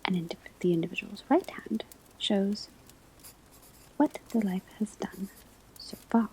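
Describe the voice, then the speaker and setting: A woman playing a patient, formal witch-school professor in an ASMR classroom roleplay, whispering a measured, precise lecture. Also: mid-range voice, a little mellow, a little hiss or hum, lightly acted, low-quality recording. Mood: calm, instructive. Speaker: divination teacher in a roleplay.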